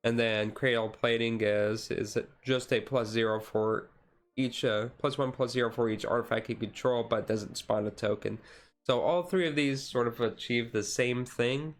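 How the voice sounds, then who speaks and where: A man streaming casual, chill card game commentary in a halting, rambling way with frequent asides. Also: medium pitch, fairly even intonation. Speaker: streamer host.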